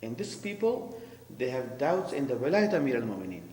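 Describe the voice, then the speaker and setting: A man's voice: mid-range voice, very animated, brisk, a little mellow. A man giving a sermon and commentary who explains terms and questions the congregation. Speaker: preacher. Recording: echoey hall with faint hum.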